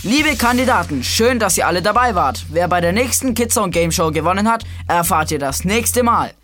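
A woman's voice: on the low side, expressive, normal speed, fairly bright tone. A woman voicing a cocky, teasing boy who hosts a children's quiz show in a breezy, slangy way. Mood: breezy presenting. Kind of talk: scripted children's quiz presenting. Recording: music behind the voice.

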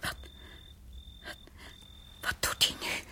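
A woman's voice: very slow, background noise. A woman acting in a radio play as an anxious, insistent wife who falters and repeats herself. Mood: panicked, insistent. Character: frightened wife, frozen still, anxiously insistent.

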